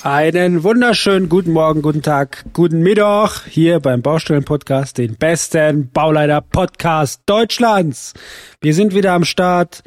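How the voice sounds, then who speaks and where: A hoarse man who is coming down with a cold, co-hosting a podcast in casual, teasing chat with halting restarts. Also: fairly high pitch. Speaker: podcast co-host.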